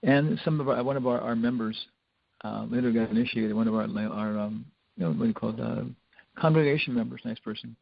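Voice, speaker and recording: Older man, gravelly informal spiritual lecturer. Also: mid-range voice, a little fast, dry recording. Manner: rambling, conversational, with wry humour. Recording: dull lo-fi lecture recording.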